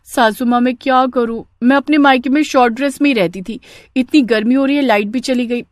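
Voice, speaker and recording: A woman's voice: sits high, steady tone, a little fast, dry recording, studio quality, voice pushed up, natural voice. A woman voicing a young daughter-in-law, pleading and justifying herself in a family story. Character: young new daughter-in-law, pleading, unashamed and heat-weary.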